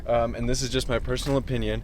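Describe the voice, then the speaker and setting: A man's voice: mid-range voice, little pitch movement, quick pace, darkish tone, a little hiss or hum, poor audio. A young man vlogging casually about his startup, jokey and rambling as he tells stories. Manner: upbeat, jokey, rambling storyteller. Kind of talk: casual vlog chat.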